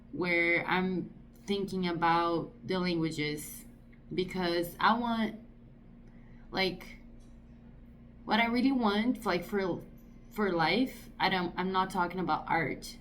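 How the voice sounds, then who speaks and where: Brazilian woman in her thirties, lower-pitched, vlogging slowly in English, thoughtful and searching for words. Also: fairly bright tone, a little hiss or hum. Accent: Brazilian Portuguese. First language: Portuguese. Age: 30s. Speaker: artist vlogger. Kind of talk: casual talk to camera.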